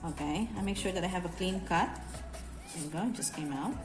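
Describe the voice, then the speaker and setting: A woman's voice: fairly low pitch, fairly quick. A Filipino-accented woman, a cake decorating instructor, talks viewers through a hands-on craft tutorial in a conversational, instructive way. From Bacolod, Philippines. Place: indoor room, echoey. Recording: echoey room with music behind.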